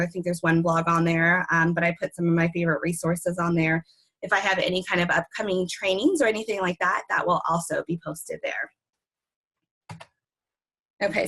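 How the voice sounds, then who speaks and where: A woman's voice: mid-range voice, pushed and bright. A young woman with a worn, recovering voice, presenting an online conference session with many ums and friendly asides. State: voice worn from recent illness. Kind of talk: webinar presentation.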